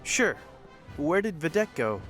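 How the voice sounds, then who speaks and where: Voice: mid-range voice, very animated, unhurried, a bit bright, soft background music. An actor playing an awkward, hesitant, good-natured young adventurer in an audio drama. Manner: awkward, unassuming.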